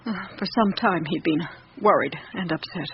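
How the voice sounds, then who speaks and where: An actress playing a loyal, troubled old woman in service to a count in a radio drama, speaking in a measured, hesitant, plaintive way. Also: on the low side, close to an everyday voice. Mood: subdued. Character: loyal elderly female servant of a count, troubled. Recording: muffled, noisy old radio recording.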